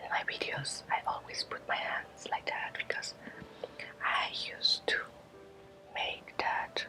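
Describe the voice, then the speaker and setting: A woman, a native Spanish speaker, giving an ASMR guided lesson as a Reiki teacher in a breathy whisper, in halting short phrases with long pauses. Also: bright, forceful tone.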